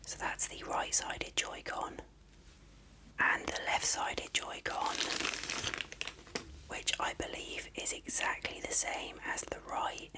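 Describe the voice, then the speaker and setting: A person speaking in a breathy, unvoiced whisper, softly and unhurriedly explaining things as an ASMR unboxing presenter. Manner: soft-spoken, unhurried, explanatory. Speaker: video presenter.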